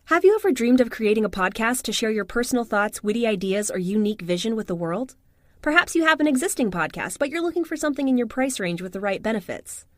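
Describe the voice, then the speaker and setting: A woman delivers a scripted promotional voice-over as an advert announcer. Her voice sits a little high and is recorded close and dry, without room sound. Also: no echo.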